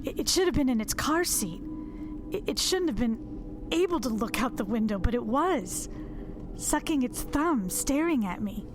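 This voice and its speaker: An actress playing a distraught, haunted woman in an audio drama, speaking haltingly as she confides in her psychologist. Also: fairly high pitch, expressive, over music, natural voice. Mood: shaken, haunted. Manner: halting, repeating words, trailing off.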